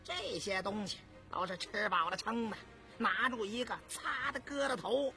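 A man's voice: high-pitched, moderate pace, creaky, pushed and bright, soft background music, voice pushed up, heavily acted. A man voicing crude, opinionated townsmen in a storybook, with colloquial, blustering talk. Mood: scornful.

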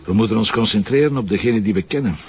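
Muffled, slightly noisy TV crime drama: male actor as a determined, polite Flemish inspector, low and matter-of-fact. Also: quick pace, a little mellow, lightly acted. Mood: businesslike, pensive.

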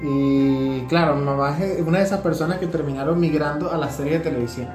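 A man's voice: on the high side, brisk. A man with a Venezuelan accent talking casually as a podcast co-host, explaining his points and often checking for agreement. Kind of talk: casual conversational discussion. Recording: roomy echo with music behind. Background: music.

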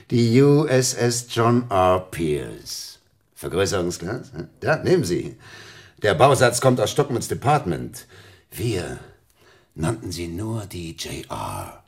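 An actor playing a pompous, possessive Navy officer in a German radio drama, theatrical, with clipped military bursts. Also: male voice, sits low, heavily acted. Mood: proud nostalgia.

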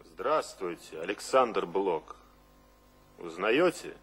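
An actor playing a bold, blunt male poet in a radio drama, speaking in a deliberate, declamatory manner. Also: low-pitched, big pitch swings, fairly bright tone, husky, heavily acted. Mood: blunt, challenging. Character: Mayakovsky, bold blunt male poet reciting his own words. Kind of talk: theatrical radio drama acting.

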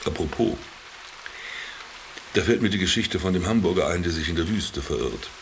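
A world-weary, sardonic companion in a radio drama. His lowish voice moves in wide swings, dry and formally polite, over a faintly noisy background. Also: expressive, normal speed, creaky. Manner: dry, ironic, formal address. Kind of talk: German radio drama dialogue.

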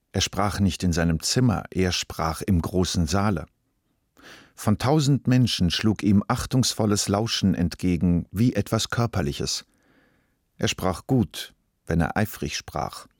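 A man reading literary narration for a radio play in a measured, deliberate way. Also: low voice, no room sound, studio quality.

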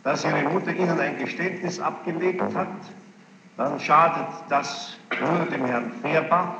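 A man formally questioning a witness as a judge, probing and insistent, with halting phrasing and a gravelly voice. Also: fairly high pitch. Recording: noisy, muffled archival tape, echoey hall.

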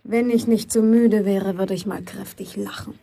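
A woman's voice: mid-range voice, fairly even intonation, normal speed, gravelly, mellow, a little hiss or hum, lightly acted. A woman playing a prickly, scornful young woman in a radio play, curt and sarcastic in naturalistic dialogue.

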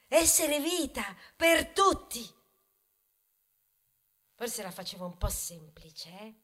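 A woman's voice: high voice, heavily acted. A woman playing a talking grain of wheat in a theatrical monologue, wry and indignant, moving between muttered asides and protest. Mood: wistful, wry. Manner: ironic, conversational, swinging from muttered asides to loud protest. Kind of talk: theatrical stage monologue, ballad-like storytelling.

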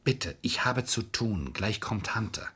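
A businesslike lawyer and boss in a radio crime play. His voice is low and husky, his manner curt and controlled, politely insistent and determined. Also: lively intonation, unhurried, pushed and bright, exaggerated voice. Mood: guarded. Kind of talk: German radio crime drama acting.

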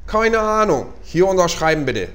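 A man's voice: high voice, very animated, moderate pace, background noise. A man playing an indifferent parcel courier in a comedy audio drama.

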